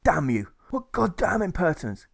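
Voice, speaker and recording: A man's voice: sits high, wildly swooping pitch, normal speed, gravelly, pitched above the performer's own voice, full character voice. Man putting on a blustering, squawking military officer. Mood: outraged. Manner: squawking, outraged, old-fashioned insults. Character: blustering, cursing provost marshal barking insults and orders.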